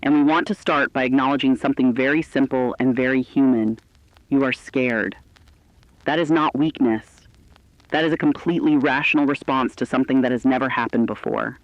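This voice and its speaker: A man's voice: medium pitch, steady tone, fairly quick, no room sound, lightly acted, rough recording. A man playing a reassuring, compassionate news co-anchor, earnestly consoling listeners in a scripted broadcast. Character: reassuring, compassionate co-anchor comforting frightened audience.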